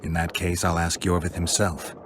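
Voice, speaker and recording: Game casting: man playing gruff, calm mercenary hunter, very low male voice, dry and terse, clear water ambience behind. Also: normal speed, noisy background, close to an everyday voice. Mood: probing. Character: calm, gruff monster-hunting mercenary with returning memories. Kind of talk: video game cutscene acting.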